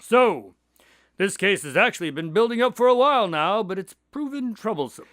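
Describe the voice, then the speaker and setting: An earnest doctor in a radio drama, the loyal sidekick who recounts the cases. His voice sits fairly high and moves in lively swings, yet the delivery stays articulate and conversational. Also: normal speed, dry recording, studio quality. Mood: recounting, grave. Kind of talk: audio drama dialogue and storytelling.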